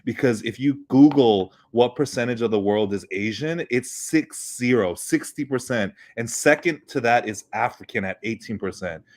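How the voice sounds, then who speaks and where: A man in his thirties calling into a live show, speaking in a hesitant, halting way with many restarts. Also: mid-range voice. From United States. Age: 30s. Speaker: caller. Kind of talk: casual chat. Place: off camera, joining a live stream remotely.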